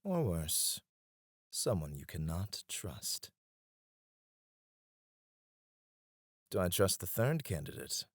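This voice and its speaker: Male actor as royal advisor, very low voice, expressive swings in pitch, courtly, softly teasing, devoted, ASMR roleplay, close dry sound. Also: measured pace, breathy edge, dry recording, heavily acted. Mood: earnest, advising. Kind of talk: ASMR romantic roleplay acting.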